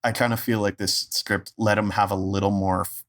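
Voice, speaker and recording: Male podcast guest, low-pitched, wry and joking in casual conversation. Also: little pitch movement, a little fast, a bit bright. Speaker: guest voice actor and stand-up comedian.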